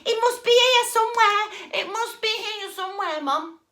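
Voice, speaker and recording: Woman playing a squeaky excited boy, shouting. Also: moderate pace. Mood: flustered. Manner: shouting eagerly. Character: excitable foolish boy Jack. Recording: echoey room.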